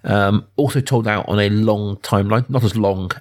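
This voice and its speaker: A man's voice: lowish voice, normal speed. A man in his thirties hosting a podcast, discussing films casually and thinking aloud with qualifying asides. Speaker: podcast host.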